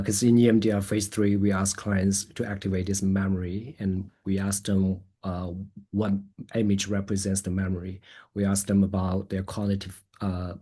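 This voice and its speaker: Male therapist presenting a webinar: husky, lowish voice, hesitant delivery. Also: dark tone. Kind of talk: presentation and question answering.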